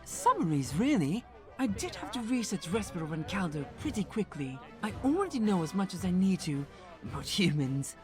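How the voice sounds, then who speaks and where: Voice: mid-range voice, very animated, normal speed, music in the background, close to an everyday voice. An actor playing a polite, eager alien host with formal, precise phrasing in an audio drama. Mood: polite, earnest.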